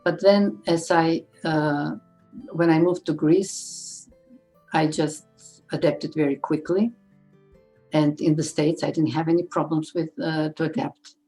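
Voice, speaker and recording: A middle-aged woman from Uzbekistan, a librarian, answering interview questions thoughtfully with pauses. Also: sits low, fairly even intonation, warm tone, faint music behind, rough recording. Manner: thoughtful, pausing with fillers.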